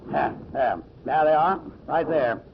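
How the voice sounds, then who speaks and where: Radio mystery storekeeper: folksy, talkative, high husky voice, muffled vintage sound. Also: male voice, a little slow, a little hiss or hum. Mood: eager, coaxing. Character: folksy talkative small-town male storekeeper, eager and excitable. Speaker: actor. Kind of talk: old-time radio mystery acting. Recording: muffled old radio recording.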